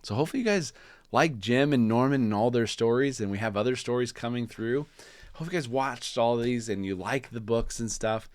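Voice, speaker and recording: A man reading a children's storybook aloud in animated narration with casual asides, hosting as he goes. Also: mid-range voice, a little fast, no room sound. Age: adult parent. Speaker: storybook reader and channel host.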